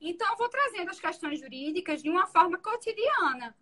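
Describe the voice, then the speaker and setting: A woman defense lawyer with a soft voice, explaining her courtroom methods in an interview. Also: very high-pitched, expressive, a little fast.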